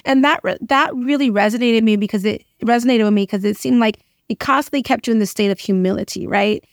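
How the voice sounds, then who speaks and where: Female interview guest, middle-aged, higher-pitched, emphatic casual storyteller, dry recording. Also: quick pace, dry recording. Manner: expressive, emphatic, often checking in with "right?". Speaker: interview guest, occupational therapist and educator.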